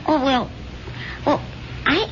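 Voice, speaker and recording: An actress playing a devoted, determined woman in love in a radio drama, earnest and expressive. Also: sits high, takes it slowly, a little hiss or hum. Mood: earnest, tender. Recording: dull radio drama, music behind.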